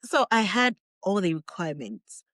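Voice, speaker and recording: A young Nigerian woman, a guest on an interview podcast, telling her story in a relaxed, chatty way and laughing often. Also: mid-range voice, moderate pace, no room sound, clean studio sound. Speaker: guest, English teacher in Japan.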